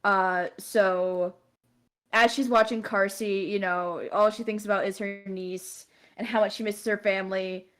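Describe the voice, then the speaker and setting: A woman's voice: medium pitch, fairly even intonation, a little slow, fairly bright tone, clean tone. A woman chatting casually and improvising narration of her character as a tabletop game player.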